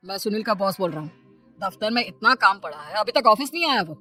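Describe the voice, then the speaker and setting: A woman's voice: medium pitch, lively intonation, fast, pushed and bright, faint music behind. A woman voicing a curt, angry male office boss in a cartoon story, speaking demandingly.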